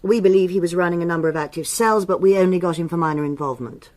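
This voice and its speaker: An actress playing a stern, no-nonsense police superintendent in a radio drama, clipped and authoritative. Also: female voice, lowish voice, very fast, darkish tone, lightly acted. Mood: brisk, official. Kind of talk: BBC radio drama acting.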